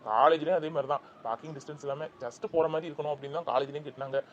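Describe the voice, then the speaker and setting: A man's voice: fairly high pitch, steady tone, racing, highs cut off, a little hiss or hum, natural voice. A young man playing an anxious father who calls out over and over for his child. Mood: reluctant. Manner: frantic, repeats name calls. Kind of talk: Tamil drama acting.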